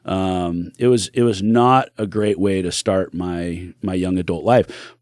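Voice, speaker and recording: A man's voice: deep voice, fairly even intonation, measured pace, a little mellow, dry recording, clean studio sound. A middle-aged man, a podcast guest, telling his life story in an earnest, reflective way. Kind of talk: candid personal storytelling.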